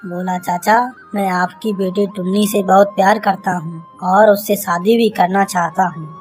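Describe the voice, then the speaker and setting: A woman's voice: mid-range voice, steady tone, normal speed, a little mellow, faint music behind, natural voice. A woman voicing an earnest, reassuring young male suitor in a cartoon story.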